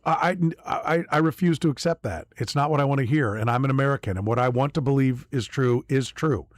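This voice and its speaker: A male sports radio host with a middle-pitched voice who winds his way into questions with restarts and playful self-mockery. He sounds close to the mic in a dry studio. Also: brisk, no room sound. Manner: rambling, self-mocking, playful with guest. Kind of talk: casual sports radio talk.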